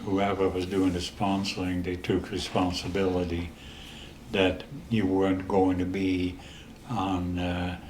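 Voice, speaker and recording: Slow, deep-voiced older Dutch-accented man. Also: monotone, darkish tone, echoey room, a little hiss or hum. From the Netherlands. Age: 80s. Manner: halting, with many restarts and pauses. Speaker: interview guest. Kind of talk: storytelling in a casual interview.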